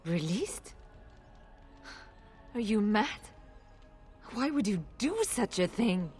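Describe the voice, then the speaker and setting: An emotional noblewoman in a fantasy video game. Her tone is mellow and breathy, with formal, dramatic phrasing. Faint music sits underneath. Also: mid-range voice, big pitch swings, slow pace. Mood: indignant. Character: emotional noblewoman, anxious mother of a rescued boy.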